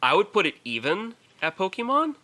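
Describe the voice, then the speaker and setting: A man's voice: sits high, wildly swooping pitch, brisk, pushed and bright. A man co-hosting a podcast and casually discussing films, emphatic and opinionated, often repeating words as he works toward his point. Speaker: podcast co-host.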